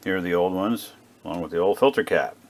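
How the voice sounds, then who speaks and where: A man giving a casual, unscripted narration at a workbench, plain-spoken and halting as he thinks aloud like a hobbyist repair vlogger. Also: sits low. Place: indoor home workbench. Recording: clear background noise, workshop ambience.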